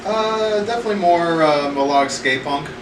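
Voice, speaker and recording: Man, guitarist, laid-back hesitant chat, echoey room, clear background hum. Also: fairly high pitch, normal speed. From South Florida. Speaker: band guitarist. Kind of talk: casual interview chat. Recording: roomy, far from mic, air conditioning hum.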